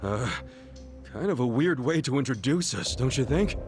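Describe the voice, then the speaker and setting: A man's voice: medium pitch, expressive, normal speed, soft background music, natural voice. An actor playing an earnest, impulsive young male hero who keeps blurting out questions. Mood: baffled.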